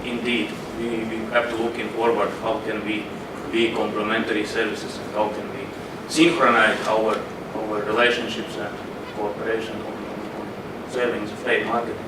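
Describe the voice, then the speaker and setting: A man's voice: lowish voice, little pitch movement, normal speed, hardly any pauses. Distant man asking from the floor. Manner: hesitant, searching for words. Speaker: audience member asking a question. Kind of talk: question from the floor at a panel. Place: conference hall. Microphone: room audio, away from the microphone. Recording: roomy and distant with background noise.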